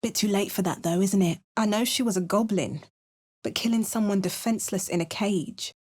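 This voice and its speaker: A woman acting as a young adventuring heroine for a fantasy video game. She is watchful and earnest, speaking in measured, dramatic lines at a middling pitch. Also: a little mellow, breathy edge, no room sound, clean studio sound, close to an everyday voice. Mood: grim, scornful.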